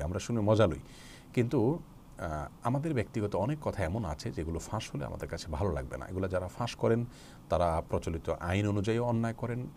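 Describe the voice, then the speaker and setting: Low, gravelly male religious lecturer. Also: darkish tone. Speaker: Islamic scholar answering religious questions. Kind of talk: religious question-and-answer lecture. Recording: noticeable background noise.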